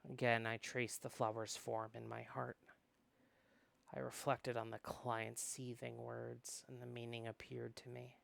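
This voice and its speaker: A man's voice: medium pitch, completely flat delivery, measured pace, creaky, fairly bright tone. A man reading visual novel narration aloud in a dramatic storytelling style.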